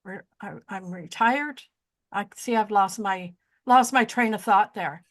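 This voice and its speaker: A woman's voice: mid-range voice, a little slow. A woman in her sixties co-hosting a podcast, chatting casually and rambling, often losing her train of thought and joking at her own expense. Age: 60s. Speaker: podcast co-host. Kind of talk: casual chat.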